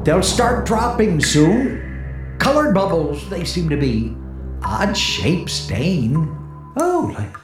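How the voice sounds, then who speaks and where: A man playing a frightened disc jockey unraveling in a haunted house, halting and stammering, in a radio drama. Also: high-pitched, exaggerated voice. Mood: uneasy, dazed. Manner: halting, stammering, talking to his listeners. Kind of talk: dramatic radio play acting. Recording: echoey, distant, music underneath.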